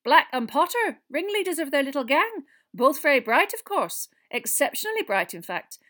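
A woman voicing a stern female witch schoolteacher with a sharp, clipped, exacting manner in a storybook. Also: sits high, very animated, moderate pace, pitched above the performer's own voice. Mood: brisk.

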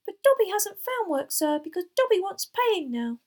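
An eager little male house elf from a storybook, acted out by a woman, speaking in a very high, shrill squeak. He is deferential and excitable, with quirky grammar and swooping pitch. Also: moderate pace. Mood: earnest, proud. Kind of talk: audiobook character voice.

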